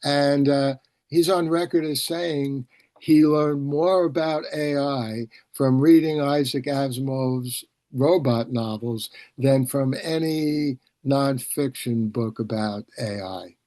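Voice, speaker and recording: A man hosting a casual video-call discussion, explaining things in a halting, discursive way and often repeating words. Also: medium pitch, a little mellow, no echo. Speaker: host, publisher and professor.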